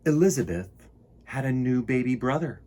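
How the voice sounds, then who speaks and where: Male picture book reader, theatrical and playful, with very lively intonation and a husky edge. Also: medium pitch, normal speed. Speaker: children's storytime reader. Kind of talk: animated picture book read-aloud with casual asides.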